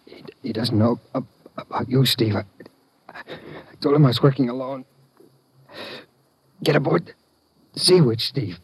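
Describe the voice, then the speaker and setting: A man's voice: medium pitch, a little slow, long pauses, husky. A man playing a dying agent in a 1950s radio drama, weak and gasping out a last warning. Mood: dying, urgent.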